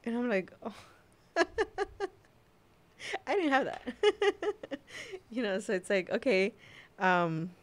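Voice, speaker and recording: A young woman from Mexico whose first language is Spanish, a mother sharing her story as a podcast guest in a soft, hesitant way with frequent laughs. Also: medium pitch, sing-song, takes it slowly, long pauses. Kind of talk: casual personal storytelling.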